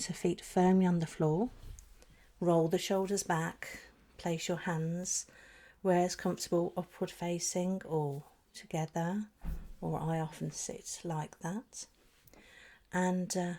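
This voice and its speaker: Breathy, low-voiced woman guiding meditation. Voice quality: soft, breathy, often trailing into near-whisper. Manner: slow, soothing, deliberate phrasing with pauses. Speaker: spiritual meditation guide. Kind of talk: guided meditation.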